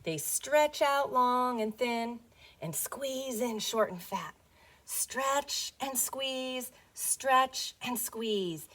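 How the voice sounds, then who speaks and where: A woman teacher reading a picture book aloud to young children, playful and expressive, often putting questions to them. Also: on the high side, drawn-out words.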